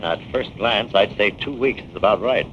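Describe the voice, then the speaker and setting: A man's voice: fairly low pitch, natural voice. An actor playing a confident, wry male scientist-detective in a 1940s radio drama. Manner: lively, wry, quick banter. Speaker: lead actor. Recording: dull old radio transcription with faint hiss.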